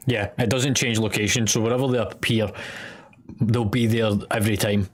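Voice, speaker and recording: A man's voice: fairly low pitch, fairly even intonation, moderate pace. A Scottish man giving matter-of-fact, directive instructions to teammates as raid leader in an online game. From Scotland.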